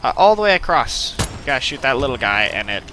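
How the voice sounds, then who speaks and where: A man's voice: mid-range voice, very animated, quick pace, background noise. A man giving casual, rambling let's play commentary with playful asides. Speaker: gaming video host. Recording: game gunfire and ambience behind voice. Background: game gunfire and effects.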